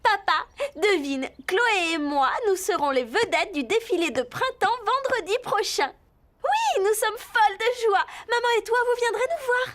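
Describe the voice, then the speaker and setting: A woman voicing a pampered, excitable young rich girl in a cartoon dub, girlish and self-absorbed. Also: extremely high pitch, moderate pace. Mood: excited. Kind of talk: French cartoon dubbing.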